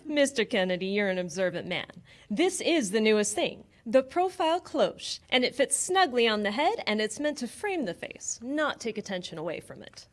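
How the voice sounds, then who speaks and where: A woman's voice: on the high side, sing-song, normal speed. A woman playing a chatty, coy Hollywood reporter in 1940s radio style, playful and gushing. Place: live stage performance at a public library.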